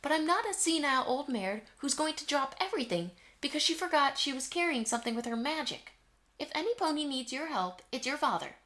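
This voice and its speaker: A woman acts out a middle-aged mother pony in an audio drama. She is brisk, bossy and affectionate, with a fairly high voice and a firm motherly manner. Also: sing-song, sharp tone, exaggerated voice. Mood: exasperated. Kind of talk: fan audio drama acting.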